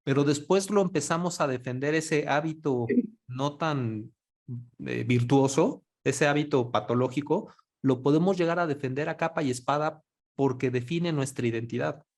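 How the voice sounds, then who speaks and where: A man's voice: on the high side, normal speed. A man speaking as a panelist on a video call, telling a story conversationally. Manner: storytelling, quotes others in lively voices. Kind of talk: conversational talk in an online panel.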